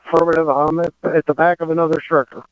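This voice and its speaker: A man's voice: on the high side, little pitch movement, fast talker, smooth, no room sound, phone-quality sound. A man calling in as a firefighter over a two-way radio. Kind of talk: radio dispatch traffic.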